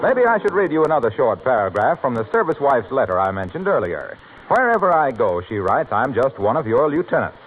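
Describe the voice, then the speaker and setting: A man's voice: medium pitch, big pitch swings, a little fast, soft background music. An American man reading an advertisement as a 1940s radio announcer. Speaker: commercial announcer. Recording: tinny, faintly noisy 1940s radio recording.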